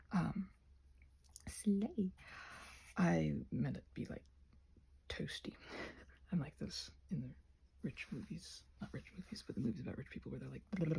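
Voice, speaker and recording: A woman with a very husky, breathy voice that often slips toward a whisper. It sits on the low side and is gravelly at the edges. She rambles casually and playfully, cutting herself off with little asides. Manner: playful, self-interrupting, trailing asides. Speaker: craft and ASMR video creator. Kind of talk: casual rambling vlog chat while crafting.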